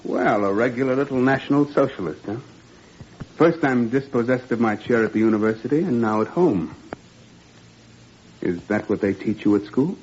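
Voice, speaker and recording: A man's voice: medium pitch, moderate pace, darkish tone, lightly acted. An actor playing a weary, affectionate father, a wistful former professor, in 1940s radio drama style. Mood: hurt, pressing. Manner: wistful, fatherly, ends remarks with 'huh?'. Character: weary, affectionate father, dismissed professor turned factory worker. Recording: muffled, noisy 1940s radio recording.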